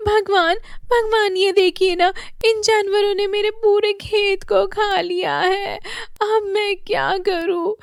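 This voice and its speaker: A woman's voice: fairly even intonation, slow pace, dry recording, studio quality, full character voice. Woman doing whiny lazy boy Manish. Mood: wailing. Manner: whining, high and sing-song.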